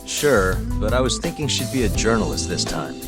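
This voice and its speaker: Low-voiced cool detective played by a man. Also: expressive, moderate pace, natural voice. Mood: confident, casual. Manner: casual, assured, matter-of-fact. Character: male private detective, cool, confident schemer. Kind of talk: English game dub acting. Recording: music playing behind the voice.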